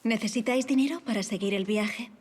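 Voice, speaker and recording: A woman's voice: medium pitch, fairly quick, a little mellow, slightly rough, natural voice. A woman acting in an audio drama as a gracious, witty young aristocratic lady, poised and formally polite. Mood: solicitous.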